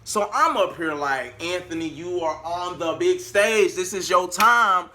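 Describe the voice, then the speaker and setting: A man vlogging in a casual, colloquial storytelling style, animated and acting out bits of dialogue as he goes. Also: sits high, measured pace. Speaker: vlogger and singer.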